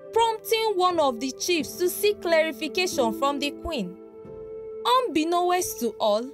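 A woman narrating a scripted folktale in a dramatic storytelling manner. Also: sits high. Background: background music.

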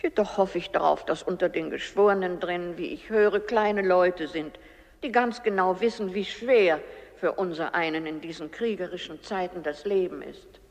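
An actress playing a kindly, humble old woman in a radio play, speaking in a gentle, patient, measured way. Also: lowish voice, natural voice. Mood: resigned, worried. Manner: gentle, measured, plain-spoken. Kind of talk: German radio play acting. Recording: long reverberant hall.

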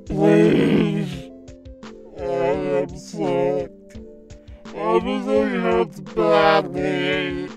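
An actor voicing a huge, sick, hungry mutant blob monster, groaning haltingly with a gravelly croak in an animated comic dub. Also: mid-range voice. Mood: pained, hungry. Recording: loud music behind the voice.

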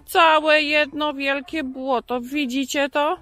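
A woman's voice: high voice, steady tone, slow pace, fairly bright tone, faint music behind. A woman voicing a moustached male puppet who talks casually to children, chatty and repetitive, drawing out key words. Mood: chatty, amazed.

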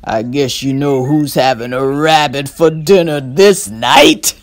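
Woman doing a slow, gloating storybook fox. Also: deep voice, dry recording. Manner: loud, slow, drawn-out gloating. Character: sly gloating male fox, greedy. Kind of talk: storybook read-aloud.

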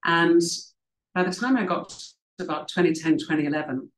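A woman's voice: fairly low pitch, normal speed, a little hiss or hum. A middle-aged woman, raised in South Africa, telling her career story at a book festival in a conversational, reflective way with frequent ums and restarts, speaking over a video call from home. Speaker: author and leadership coach. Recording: roomy video call audio.

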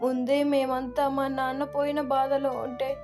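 A woman's voice: sits high, little pitch movement, a little slow, music in the background, voice pushed up. A woman voicing a polite, meek young daughter-in-law with a respectful manner in a moral story. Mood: hurt, pleading.

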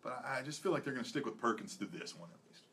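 A man's voice: mid-range voice, lively intonation, rapid-fire, fairly bright tone. A young adult man co-hosting a podcast, talking casually as he gives his predictions. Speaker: podcast co-host. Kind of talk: casual wrestling predictions chat. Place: small indoor studio with acoustic foam panels.

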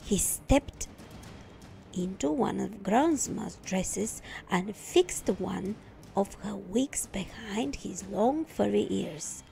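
A woman narrating a children's story expressively, with deliberate emphasis. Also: mid-range voice, lively intonation, gravelly, mellow, faint music behind. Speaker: storytime reader.

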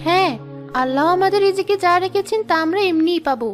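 Woman voicing a very high, plaintive cartoon girl. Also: quick pace, faint music behind. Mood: earnest, devout. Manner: plaintive, earnest. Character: poor young girl, pleading, sensitive, earnest. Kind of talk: cartoon voice acting, moral story.

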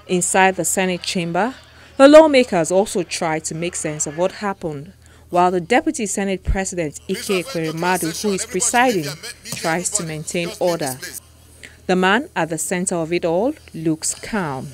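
A woman's voice: lowish voice, normal speed. A woman with a Nigerian accent reading scripted news narration as a television presenter.

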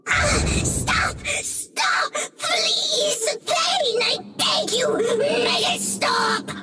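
A small, frantic monster mage from a fantasy game, high and wildly swooping. The speech stammers and drags, with loud music around it. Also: big pitch swings, extremely slow, recorded from a distance, heavily acted. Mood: agonized panic. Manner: stammering, swooping between snide and shrieking. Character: frantic small furry abyss mage monster, captured and pleading.

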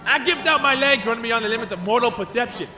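An earnest young anime hero, acted by a man, gives a parody testimonial. He is stiff and over-eager, very high and quick, echoing over music. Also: animated.

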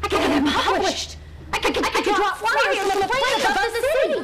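An actress playing an indignant, scheming city woman in a sitcom, emphatic and excitable. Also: very high-pitched, a little fast, very noisy. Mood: gloating. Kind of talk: sitcom acting.

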